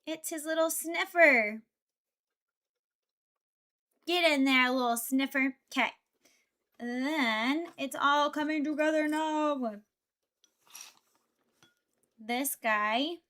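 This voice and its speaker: A young woman streamer chats through a casual livestream and thinks aloud as she works. Her voice is bright and a touch high, and she talks slowly and easily. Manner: chatty, thinks aloud, reads chat. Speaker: VTuber streamer. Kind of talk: casual livestream chat while building Lego.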